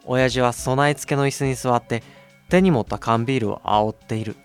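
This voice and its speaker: A man's voice: mid-range voice, brisk, clean tone, faint music behind, natural voice. A man playing a harried young courier in a radio drama, mixing casual first-person narration with exasperated asides. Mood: tense, narrating. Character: harried young male courier, wry and irritable.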